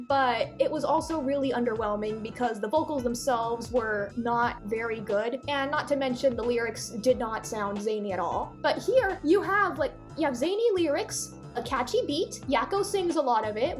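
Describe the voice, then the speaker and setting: A woman reviewer giving casual, opinionated cartoon commentary with animated asides and exclamations. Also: high-pitched. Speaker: video reviewer.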